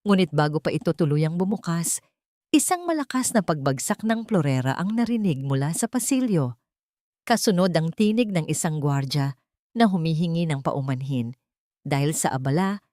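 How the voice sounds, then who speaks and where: A woman's voice: lowish voice, no room sound, studio quality. A woman narrating a dramatic audiobook story, voice rising and dipping for suspense. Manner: expressive, rises and dips for suspense.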